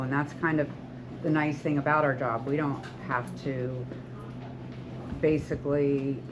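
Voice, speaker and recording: Woman, sixties, hairstylist, earnest, encouraging, rambling, dull lo-fi sound, noisy background. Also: low-pitched. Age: 60s. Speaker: hairstylist and salon owner. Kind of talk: talk to beauty school students. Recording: lo-fi with loud background noise.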